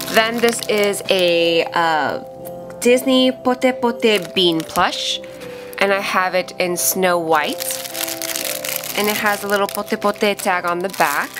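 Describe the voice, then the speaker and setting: A young woman with a stuffy, nasal voice from a cold, chatting casually as she unboxes and reviews small items. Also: medium pitch. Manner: chatty, thinking aloud with fillers. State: sick and nasal. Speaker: unboxing vlogger. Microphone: off-camera voice over hands-only tabletop shots.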